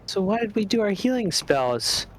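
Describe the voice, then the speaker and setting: A man's voice: high voice, very animated, normal speed, a crowd in the background. A young man playing a tabletop game, asking dry questions. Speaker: player. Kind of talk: casual game chat.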